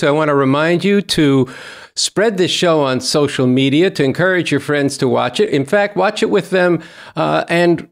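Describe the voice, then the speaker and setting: Older male presenter, voice a bit above average pitch, close desk mic, talking straight to viewers. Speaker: show host. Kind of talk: show sign-off to camera. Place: small indoor studio. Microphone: close desk microphone.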